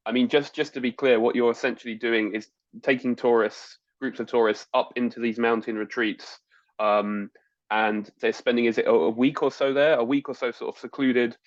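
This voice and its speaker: A man's voice: medium pitch, fairly even intonation, normal speed, dry recording, lo-fi sound. A man hosting a travel podcast, friendly and chatty, summing up the guest's answers. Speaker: podcast host. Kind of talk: podcast interview.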